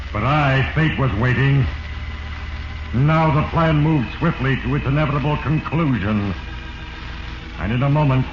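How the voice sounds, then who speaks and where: A man's voice: medium pitch, lightly acted. Man as ominous, echoing voice of Fate. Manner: ominous, portentous, heavy-handed. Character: Fate personified, sinister, solemn male judge of human destinies. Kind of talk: 1940s radio narration. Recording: echoey, muffled, noisy 1940s radio recording.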